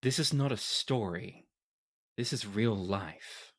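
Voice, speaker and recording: A game master voicing a slow, imperious empress. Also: mid-range voice. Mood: cold, resolute. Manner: formal, grandiose, measured phrasing. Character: imperious, cold empress, guarded mother-in-law, faintly manic.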